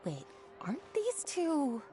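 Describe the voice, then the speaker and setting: A woman playing a gentle, concerned shopkeeper in a video game, with an unhurried, soft manner. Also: high voice, huge pitch swings, faint music behind, exaggerated voice. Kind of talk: scripted video game voice acting.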